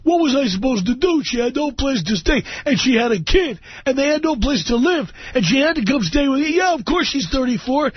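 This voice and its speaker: Whiny pushover radio caller, as a male host puts him on, high-pitched, pleading, muffled roomy sound. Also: expressive, fairly quick, few pauses, slightly rough, heavily acted. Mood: whiny, desperate. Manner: pleading, defensive.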